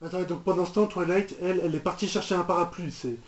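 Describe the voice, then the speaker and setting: High-voiced man rambling in a roomy recording. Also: steady tone, fast talker, soft background music. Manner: rambling, repetitive, mock-exasperated. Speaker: reaction video host. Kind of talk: casual reaction commentary on a cartoon. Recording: roomy, dull lo-fi microphone sound.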